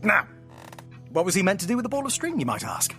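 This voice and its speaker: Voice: mid-range voice, huge pitch swings, fast talker, fairly bright tone, soft background music. An actor in an audio drama playing a playful, theatrical storyteller who narrates with grand melodrama and teasing asides. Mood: mock-grand. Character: playful, theatrical storyteller friend spinning a myth at home.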